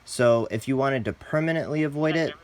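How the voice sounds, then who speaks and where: A man's voice: medium pitch, a little fast. A man hosting a step-by-step product tutorial, talking in a casual, plain instructional way. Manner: casual, plain instructional, addresses viewers as guys. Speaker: host, tutorial presenter.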